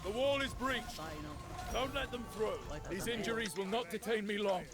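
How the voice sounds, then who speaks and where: A man's voice: sits high, moderate pace, music in the background, close to an everyday voice. A man playing a bold, proud Spartan general in a game, giving rousing, commanding speeches and orders.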